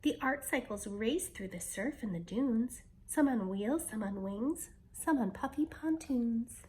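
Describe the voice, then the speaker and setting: Slow, high-voiced woman reading a storybook. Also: expressive, a little mellow. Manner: slow, dramatic storytelling delivery. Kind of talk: expressive children's picture book read-aloud.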